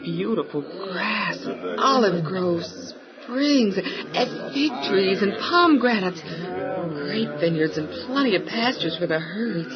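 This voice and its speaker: An actress playing the emotional, pleading wife of a patriarch in a dramatized Bible audio drama. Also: female voice, lowish voice, animated, slow pace, muffled recording, a loud crowd. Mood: delighted.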